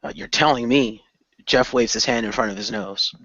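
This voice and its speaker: A man's voice: medium pitch, normal speed, a bit bright, no room sound, muffled recording. A man in a casual improvised radio play, acting and narrating his character's moves. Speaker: radio play cast member.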